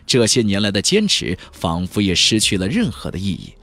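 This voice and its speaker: A man narrating a fantasy audiobook as a dramatic, expressive storyteller. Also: on the low side, a little fast, dry recording.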